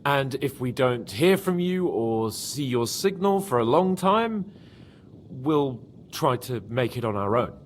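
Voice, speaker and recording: An actor playing an earnest young nobleman in a video game, expressive and quick to dry sarcasm. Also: medium pitch, a little hiss or hum. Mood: serious. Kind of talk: video game dramatic acting.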